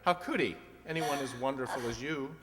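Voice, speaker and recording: A man's voice: mid-range voice, fairly quick, fairly bright tone, lightly acted. A man playing an earnest, adoring, slightly stuffy fiancé in an amateur radio play. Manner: earnest, fawning. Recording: echoey hall, long reverb.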